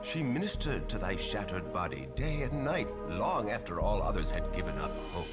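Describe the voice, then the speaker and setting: A pious old archbishop with a lively, rising and falling cadence. He speaks in solemn, old-fashioned church English. Game music swells behind him. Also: fairly low pitch, moderate pace. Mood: benevolent. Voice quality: archaic formal speech. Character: elderly male archbishop, warm and pious. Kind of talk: video game voice acting.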